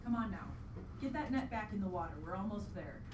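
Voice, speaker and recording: A woman's voice: mid-range voice, animated, a little fast, noisy background, natural voice. A woman playing an earnest, determined mother in a live radio play. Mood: firm, patient. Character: earnest, determined mother on a bog outing with child. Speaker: stage actor. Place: live theatre performance in a venue. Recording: roomy distant live stage recording, loud music.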